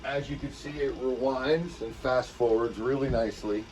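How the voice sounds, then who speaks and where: A man giving a casual, unscripted product demonstration, talking informally and haltingly as he thinks aloud. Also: medium pitch, fairly even intonation, a little mellow, a little hiss or hum, rough recording. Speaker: presenter demonstrating vintage audio equipment.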